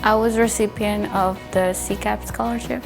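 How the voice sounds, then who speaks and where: A woman's voice: medium pitch, fairly even intonation, moderate pace, creaky. A woman from El Salvador, a scholarship recipient, introducing herself plainly. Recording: music playing underneath.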